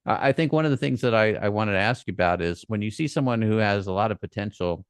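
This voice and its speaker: A man's voice: on the low side, expressive, fast talker, clean tone, no echo. A man hosting a podcast interview, asking questions in a conversational, encouraging way. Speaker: podcast host.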